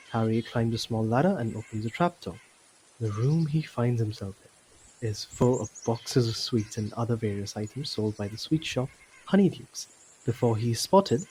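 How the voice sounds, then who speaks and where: Male narrator, medium pitch, scripted storytelling delivery, faint noise behind. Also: a little mellow, poor audio.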